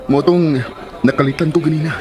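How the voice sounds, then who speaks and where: A man's voice: a little high, steady tone, brisk, warm tone, slight background noise, lightly acted. Radio serial romantic son, sincere and confiding, lightish male voice actor. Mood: happy, earnest. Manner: earnest, confiding. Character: earnest, lovestruck son confiding in mother.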